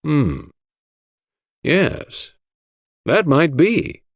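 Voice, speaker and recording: A man voicing a puzzled, sceptical English country gentleman with a frank, hearty, questioning manner. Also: on the high side, wildly swooping pitch, drawn-out words, slightly rough, no room sound, studio quality, voice pushed up, heavily acted.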